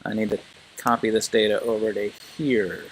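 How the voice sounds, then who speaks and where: A man's voice: fairly low pitch, flat delivery, moderate pace, a little hiss or hum, rough recording. A man teaching a class informally, thinking aloud and prodding students with questions.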